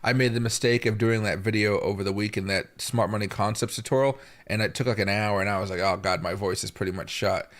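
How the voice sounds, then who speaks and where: A man with a hoarse voice from a chest infection, hosting a live market commentary stream at an unhurried pace with frequent pauses and fillers. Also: on the low side, steady tone, fairly bright tone. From Seattle area, US. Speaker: crypto market livestream host.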